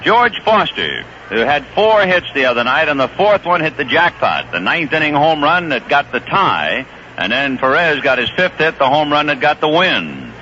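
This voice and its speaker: A man calling a baseball game play by play on the radio, chatting easily about the players between pitches. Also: normal speed, noisy background. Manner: relaxed, conversational storytelling between pitches. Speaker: play-by-play announcer. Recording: dull lo-fi 1970s radio broadcast.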